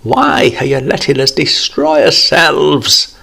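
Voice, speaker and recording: A man's voice: on the low side, sing-song, a little slow, vocal fry, a bit bright, breathy edge, voice pushed up, full character voice. A man voicing a jovial, preachy older man, raising his voice above his narration. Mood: pleading. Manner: pleading, then cheery and coaxing.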